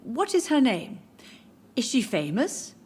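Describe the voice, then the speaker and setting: An older woman playing a grieving, confused elderly mother in a video game, wistful, drifting and gently reproachful. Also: on the high side, wildly swooping pitch, slow, slightly rough, full character voice. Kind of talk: video game dialogue acting.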